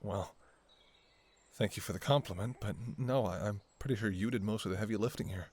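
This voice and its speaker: A man's voice: lowish voice, fairly quick, darkish tone, lightly acted. A man playing a devoted, anxious doctor talking to a lover in an intimate ASMR audio roleplay, with a hesitant, emotionally raw delivery. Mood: tender, hopeful.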